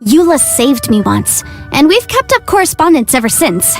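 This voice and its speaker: A high, lively young female legal adviser from a video game. She is confident, proud and chatty, shaping her words articulately with expressive swings in pitch. Music plays behind her. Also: moderate pace. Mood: fond, reflective. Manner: articulate, expressive, self-assured. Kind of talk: scripted video game character monologue.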